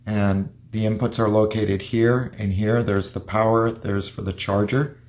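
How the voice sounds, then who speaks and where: A man's voice: on the low side, level tone, a little slow, a little mellow. A man giving a hands-on gadget review, explaining features plainly and methodically, step by step. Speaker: product reviewer.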